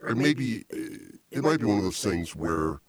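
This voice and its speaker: A middle-aged man hosting a casual comedy show, rambling and teasing with deadpan insults, on a small desk mic in a home music studio. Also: lowish voice, unhurried, a crowd in the background. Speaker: podcast host. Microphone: small desk mic stand.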